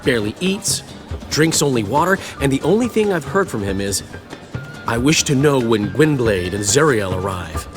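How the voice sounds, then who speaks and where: An actor playing a flamboyant, chatty male bard and innkeeper in a video game, theatrical and expressive. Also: mid-range voice, moderate pace, over music, close to an everyday voice. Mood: exasperated, wry. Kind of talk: dramatic video game acting.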